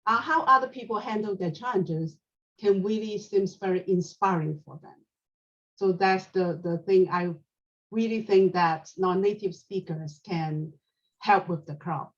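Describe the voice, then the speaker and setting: A woman whose first language is Chinese speaks in an earnest, slightly halting way. Her voice comes from some distance away from the mic. The sound is dull and muffled. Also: medium pitch. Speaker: panel speaker. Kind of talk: prepared talk at a club panel.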